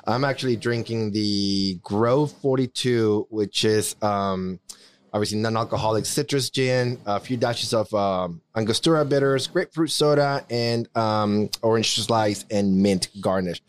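A man in his forties with a Mexican accent, Spanish being his first language, talks on a podcast in a slightly low voice. He rambles through his story, restarting phrases and leaning on fillers as he goes. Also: unhurried. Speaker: podcast co-host. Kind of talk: casual chat, personal storytelling.

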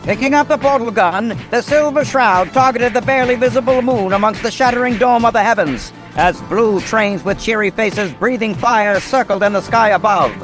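A man playing the storyteller of an epic radio mystery, narrating with grave, dramatic, deliberate phrasing. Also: sits high, lightly acted, low-quality recording. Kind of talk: scripted old-time radio drama narration. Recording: music behind the narration.

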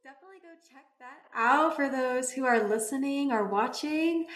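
A woman's voice: sits high, moderate pace, a bit bright. A woman hosting an interview, listening attentively and asking about her guest's journey. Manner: attentive, brief affirmations. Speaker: host. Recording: echoey room.

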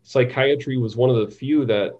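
Male interview guest, mid-pitched, thoughtful and halting, conversational, on slightly muffled audio. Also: a little mellow. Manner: halting, thoughtful, repeats words. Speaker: interview guest, psychiatric nurse practitioner.

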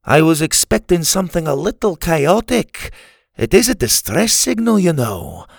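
Storybook role: crotchety old Scotsman acted by a man, sardonic and emphatic, higher register, animated delivery. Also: normal speed, creaky, no room sound, studio quality, full character voice. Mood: sardonic, dismissive. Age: older.

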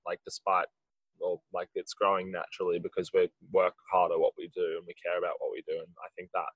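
A musician with a husky voice, answering interview questions in a rambling way, full of "like". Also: male voice, sits low, fairly bright tone, dry recording, highs cut off. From Australia. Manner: rambling, heavy use of "like". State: husky from late rehearsal. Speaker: musician in a band.

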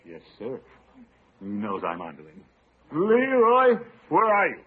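A man's voice: a little high, huge pitch swings, unhurried. An American man playing a pompous, exasperated middle-aged uncle in a vintage radio comedy, blustering and grumbling. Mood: stern, blustering. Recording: vintage radio, narrow-band, noisy.

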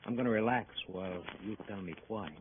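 An actor playing a tough-talking, cocky young murder suspect in a 1950s radio crime drama, sullen and taunting in street slang. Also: male voice, lowish voice, a little fast, natural voice. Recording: tinny, noisy old radio recording.

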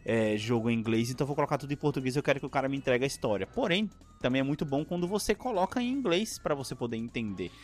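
Fast-talking, slangy Brazilian podcast host. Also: male voice, medium pitch, lively intonation. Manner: slangy, rambling, many fillers. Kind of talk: casual chat. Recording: faint music behind.

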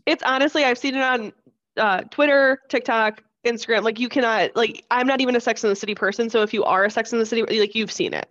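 A woman's voice: a little high, fast talker, fairly bright tone, no room sound, lo-fi sound. A congested woman co-hosting a podcast, talking casually with laughter and swearing. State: congested, stuffy nose. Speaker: podcast co-host.

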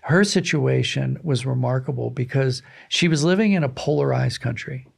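A man's voice: medium pitch, moderate pace, vocal fry, slightly rough. A man speaking as a guest author in an interview, reflective and emphatic in a conversational way. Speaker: guest, author of audio dramas and books.

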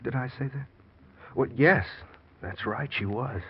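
An actor playing a scheming, guilty husband who feigns tender concern, in old-time radio drama style. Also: male voice, medium pitch, unhurried, slight background noise, lightly acted. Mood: evasive concern. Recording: muffled vintage radio recording.

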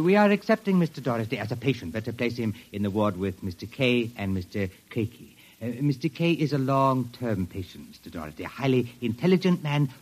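An actor playing a small, older sanitarium director in an old radio drama, formal, hesitant and smoothly evasive. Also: male voice, medium pitch, darkish tone.

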